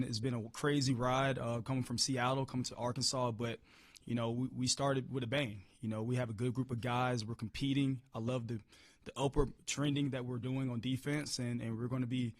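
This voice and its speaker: A hoarse-voiced man coaching football, answering press questions upbeat, often repeating words as he restarts. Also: mid-range voice, steady tone, fairly quick, no room sound. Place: media room.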